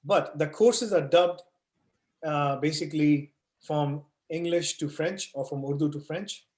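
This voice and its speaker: A man with a slightly high voice talks students through course basics on a video call. He leans on homely examples and keeps asking "right?" to check he is followed. The sound is roomy and echoey. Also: measured pace, darkish tone. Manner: explains with everyday analogies, frequent "right?" and "you know". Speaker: online course instructor answering questions. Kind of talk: live online Q&A session. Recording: echoey room.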